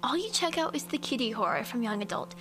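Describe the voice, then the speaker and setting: A woman's voice: fairly high pitch, expressive, fast, over music, natural voice. A woman playing a bold, pushy ten-year-old girl in a radio horror drama, brusque and confident. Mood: conspiratorial.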